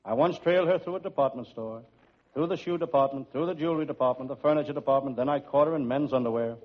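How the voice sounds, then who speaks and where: An actor playing a wisecracking hard-boiled private detective in an old-time radio comedy, with dry, deadpan delivery. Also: male voice, mid-range voice, fast talker, close to an everyday voice. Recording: muffled, noisy old radio recording.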